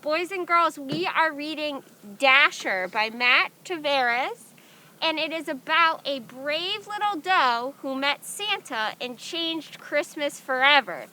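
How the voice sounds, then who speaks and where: A woman's voice: high voice, expressive, slow pace, pushed and bright, poor audio. A woman hosting a children's storytime, presenting and reading aloud expressively as she addresses young listeners directly. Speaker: storytime host. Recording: background noise.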